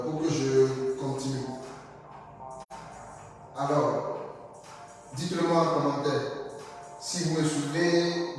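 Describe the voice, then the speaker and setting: A man's voice: fairly high pitch, darkish tone. A man giving a live trading lesson, repeating himself and checking often whether viewers follow, with French that is not his first language. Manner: repetitive, checks in with audience often. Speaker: trading instructor. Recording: echoey room, far from the mic.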